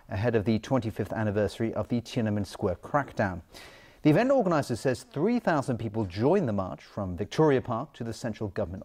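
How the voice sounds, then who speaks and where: A man's voice: medium pitch, a little mellow, slight background noise. A middle-aged man presenting scripted television news as a studio anchor.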